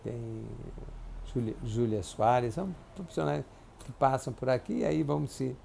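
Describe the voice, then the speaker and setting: Gravelly older man recalling family. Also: mid-range voice, warm tone, a little hiss or hum. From Brazil. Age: 70s. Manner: halting, reflective, many restarts and tag questions. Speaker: interviewee. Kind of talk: oral history interview. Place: clinic office.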